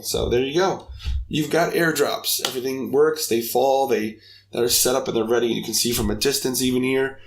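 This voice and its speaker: A young man hosts a casual game development tutorial, talking through every step in an upbeat, chatty manner. His voice is gravelly and a little raspy, sitting at a middle pitch. He speaks close to a desk microphone. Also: a little hiss or hum. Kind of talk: casual screen-recorded coding tutorial.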